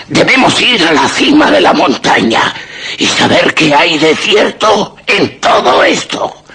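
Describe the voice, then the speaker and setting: An actor with a Castilian accent playing a pious, cautious village elder, raspy and solemn, in a radio drama. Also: male voice, mid-range voice, bright, forceful tone, exaggerated voice. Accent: Castilian Spanish. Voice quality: raspy, creaky. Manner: solemn, deliberate. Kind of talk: Spanish radio drama acting.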